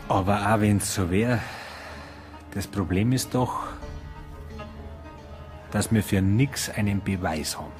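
An actor playing a matter-of-fact, resigned police detective in a radio crime drama, blunt and colloquial, with a Bavarian accent. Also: male voice, lowish voice, takes it slowly, pauses often, a little mellow, faint music behind.

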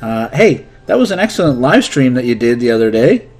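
A man's voice: mid-range voice, sing-song, brisk, darkish tone, faint music behind. A man in his thirties hosting an English lesson, acting out conversational skit lines and explaining idioms as a teacher. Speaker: English teacher and video host.

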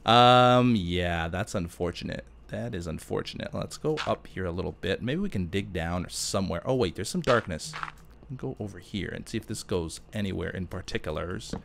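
A man's voice: low-pitched, lively intonation, normal speed, gravelly, fairly bright tone, slight background noise. Rambling man chatting over gameplay. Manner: rambling, thinking aloud. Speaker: gaming YouTuber. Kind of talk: casual gameplay commentary with question-and-answer chat.

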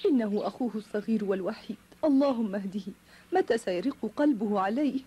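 A woman's voice: mid-range voice, quick pace, warm tone, slightly rough, close to an everyday voice. A woman playing a tender, pious mother in a children's cartoon, prayerful and pleading. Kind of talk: dubbed children's cartoon acting.

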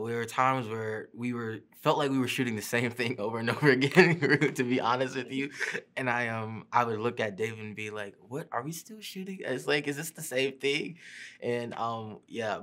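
A young man, an actor, answering interview questions casually with fillers and restarts, seated in a studio. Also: on the low side. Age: young adult. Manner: relaxed, many fillers and restarts. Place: studio seat before a printed backdrop.

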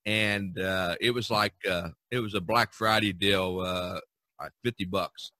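A man hosting a casual podcast chat, talking in a halting way and repeating words. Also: deep voice, fairly even intonation, pushed and bright, dry recording. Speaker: podcast host.

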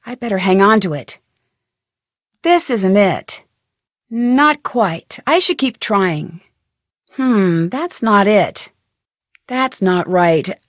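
A woman with an American accent playing a plucky, curious teenage girl detective in a video game, thinking aloud in a clear, chipper way. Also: medium pitch, no room sound, clean studio sound. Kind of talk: scripted video game voice lines.

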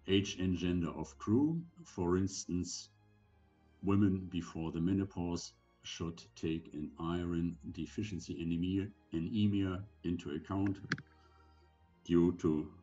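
Male, around 70, deep and mellow. Instructional presenter, deliberate phrasing. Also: dull audio. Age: older, around 70. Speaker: presenter giving medical advice for sailors. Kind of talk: slide presentation lecture.

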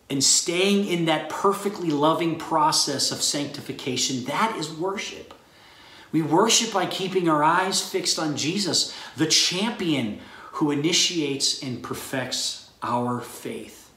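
A middle-aged male pastor preaches slowly and earnestly, taking his time with each phrase. His voice sits at an ordinary pitch. The small room gives it a noticeable roomy echo. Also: a bit bright. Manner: earnest, measured preaching delivery. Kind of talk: recorded sermon to graduates. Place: small room with a bookshelf, indoors.

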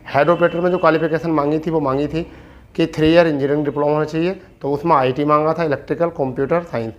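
A man's voice: a little high, steady tone, fast, dull audio. Man explaining an update. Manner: repeats points for emphasis. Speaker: exam-update video presenter. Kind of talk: informal explanatory update to camera. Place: small plain room indoors. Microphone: clip-on lapel mic.

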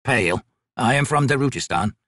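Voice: mid-range voice, animated, a bit bright, dry recording, clean studio sound. A narrator playing a meek, nervous old male trader in an audiobook, hesitant and fussily formal. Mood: meek, earnest. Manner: slow, hesitant, formal and fussy.

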